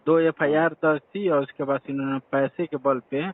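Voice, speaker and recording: A man's voice: a little high, fairly even intonation, moderate pace, no echo. A man phoning in a complaint, deferential and earnest, calling the listener sir again and again. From Bihar, India. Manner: deferential, says sir constantly, earnest and urgent. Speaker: caller reporting a complaint. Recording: tinny phone line.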